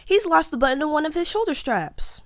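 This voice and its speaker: Woman acting a high, sighing storybook mother. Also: very animated, fairly quick. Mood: weary, dismissive. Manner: sighing, dismissive. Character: weary, practical mother refusing her child. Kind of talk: children's storybook character voice.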